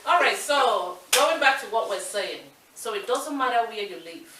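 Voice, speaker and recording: A woman's voice: fairly high pitch, expressive, moderate pace, pushed and bright. A woman from Nigeria with a Nigerian accent, co-hosting a talk show and making her points in an earnest, emphatic way. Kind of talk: casual discussion. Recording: echoey room with light hiss, mic distant.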